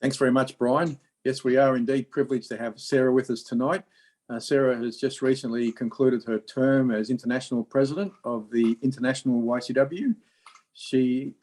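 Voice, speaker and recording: Man giving a smooth, fluent introduction. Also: medium pitch, dark tone. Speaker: meeting host introducing the speaker. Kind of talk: formal introduction.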